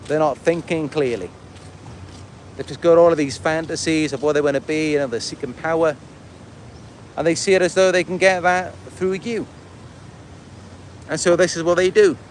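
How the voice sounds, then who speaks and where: A man from Wales with a Welsh accent, talking casually and a little haltingly in a rambling livestream monologue. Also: a little high, a little hiss or hum. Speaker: livestream host and content creator. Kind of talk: casual livestream monologue, answering viewer comments.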